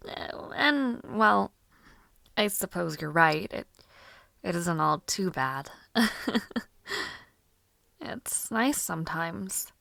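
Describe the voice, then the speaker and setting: A woman plays a spirited young noblewoman mage in an audio roleplay, playful and strong-willed, who giggles often and drops into soft whispers. Her voice sits a little low, and she takes her time over her formal, old-fashioned phrasing. The sound is close and dry. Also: lively intonation, extremely slow, vocal fry, long pauses, no echo, exaggerated voice. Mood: cheerful.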